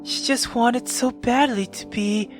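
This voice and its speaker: A woman voicing a sinister male immortal who feeds on love, bitter and mocking, with slow menace that drops into whispers, in a visual novel. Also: a little high, over music, pitched above the performer's own voice, natural voice. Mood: mocking calm. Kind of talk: dramatic visual novel read-aloud.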